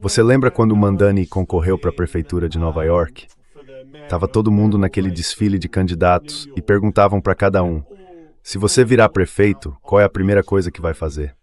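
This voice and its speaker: A man's voice: sits low, a little mellow. A synthetic male voice dubbing a political interview in a conversational style. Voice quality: synthetic text-to-speech voice. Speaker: AI dub voice translating an interview.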